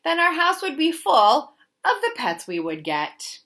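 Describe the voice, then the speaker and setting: A woman reads a children's story aloud in a bright, fairly high voice. Her delivery is slow and deliberate, with sing-song emphasis and big swings in pitch, as a teacher would read to small children. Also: big pitch swings. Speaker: teacher reading a picture book aloud.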